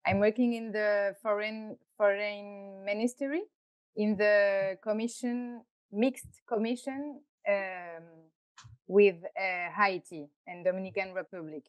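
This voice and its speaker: A woman's voice: mid-range voice, fairly even intonation, takes it slowly, clean tone, no room sound. A woman who works for a foreign ministry, introducing herself hesitantly while searching for words on a video call. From France. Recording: muffled video-call audio.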